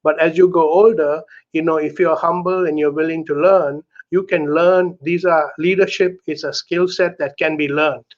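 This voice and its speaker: A middle-aged man from Sri Lanka speaks earnestly as an interview guest, often tagging his points with "right?". His voice sits somewhat high. The video call audio is dull and muffled. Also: fairly even intonation, moderate pace, hardly any pauses. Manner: earnest, keeps adding "right?" to check in. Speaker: author and guest. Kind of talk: candid conversational interview talk.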